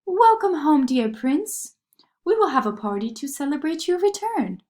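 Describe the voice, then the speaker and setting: Woman as a high, gracious storybook fairy. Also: very animated, normal speed, a little mellow. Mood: joyful welcome. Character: gracious, welcoming female sugar plum fairy.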